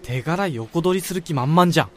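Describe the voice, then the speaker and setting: Man playing blunt senior salaryman, lively pitch. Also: a little fast. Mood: grumbling, sympathetic. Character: senior male office colleague, blunt, concerned for a friend. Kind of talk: Japanese radio drama acting.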